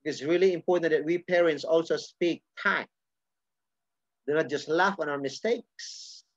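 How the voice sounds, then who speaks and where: Guy preaching, bit higher voice, earnest, dull lo-fi dry sound. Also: measured pace, no room sound. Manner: earnest, repeats and restarts phrases. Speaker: pastor. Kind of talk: sermon.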